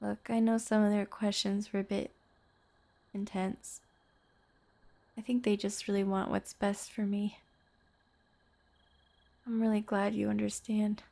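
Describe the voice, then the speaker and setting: A woman acting an affectionate, playful young girlfriend in an intimate audio roleplay, speaking softly and chattily. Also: mid-range voice, flat delivery, unhurried, gravelly, pauses often. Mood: relieved, warm. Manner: soft, tender, chatty with teasing asides.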